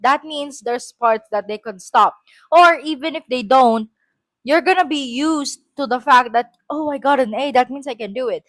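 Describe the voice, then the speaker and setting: A woman's voice: high voice, normal speed, a bit bright, no echo. A woman with a Filipino accent reads her own school essay aloud, breaking off for chatty, self-correcting asides.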